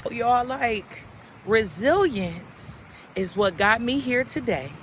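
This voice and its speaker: A woman vlogging casually and directly to her viewers, animated and slangy, swearing freely in a loud voice, recorded outdoors without a microphone. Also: fairly high pitch, slow pace, slight background noise. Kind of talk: casual motivational vlog. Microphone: camera without microphone.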